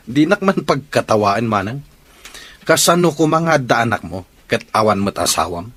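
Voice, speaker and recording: A man's voice: mid-range voice, big pitch swings, a little slow, fairly bright tone. An actor playing an arrogant, estranged father who mocks and defies in a radio drama. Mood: mocking. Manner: mocking, defiant. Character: arrogant estranged father, man, middle-aged.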